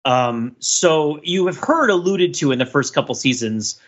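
A man co-hosting a casual pop culture discussion, talking in a halting, digressive way and often correcting himself. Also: a little high, expressive, a bit bright, muffled recording. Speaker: podcast co-host.

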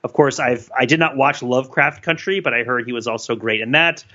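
A man co-hosting a podcast in casual chat, speaking haltingly with many fillers. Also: mid-range voice, pushed and bright, highs cut off. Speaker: podcast co-host.

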